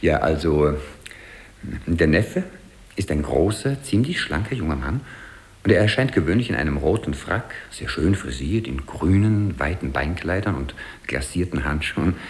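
Excitable old dancing master, swooping pitch. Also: male voice. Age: older. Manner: halting, animated, chuckling storyteller. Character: frail old French dancing master, excitable, put-upon, chattering. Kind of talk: 1950s German radio play acting.

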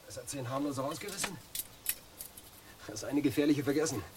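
Ein Schauspieler als wahnhafter Anstaltspatient in einem Hörspiel, der eindringlich und verschwörerisch von seinen Ängsten redet. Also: male voice, medium pitch, expressive, brisk, background noise, natural voice. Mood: obsessive, earnest. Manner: urgent, conspiratorial explaining. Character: delusional asylum patient, obsessively weeding, fearful of women. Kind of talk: German radio drama acting.

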